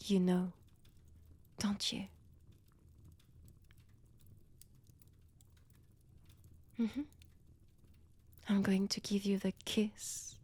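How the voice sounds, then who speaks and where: A woman's voice: medium pitch, a little mellow, exaggerated voice. A woman with a French accent voicing a seductive, menacing female vampire in an intimate ASMR roleplay, whispery and teasing, close to the microphone. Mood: hungry, seductive. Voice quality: breathy, whispery. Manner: slow, teasing, deliberate delivery. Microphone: close, dry microphone.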